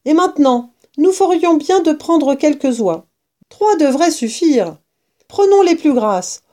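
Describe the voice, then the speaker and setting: A woman's voice: sits high, lively intonation, measured pace, warm tone, pitched above the performer's own voice. A woman voicing a jovial, scheming fox father who relishes a stolen feast, exuberant and commanding. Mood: greedy delight.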